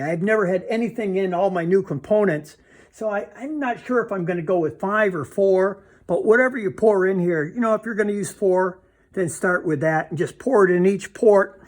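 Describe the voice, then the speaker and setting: A man giving a casual, plainspoken repair demonstration, thinking aloud informally as he handles parts. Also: sits high. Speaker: DIY car repair demonstrator.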